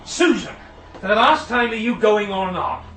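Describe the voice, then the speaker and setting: A roomy radio drama recording carries a middle-aged railway signalman, a stern, harried father. His voice sits fairly high for a man. He speaks brusquely, with worn patience, in a naturalistic way. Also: very animated, moderate pace, a bit bright, slight background noise. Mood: exasperated, stern. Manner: brusque, exasperated.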